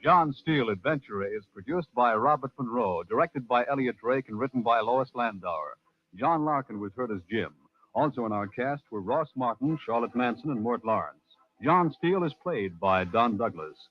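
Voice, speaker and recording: A man's voice: medium pitch, expressive, normal speed. A man announcing a radio program in a formal, dramatic 1940s broadcast style. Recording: muffled old radio broadcast recording.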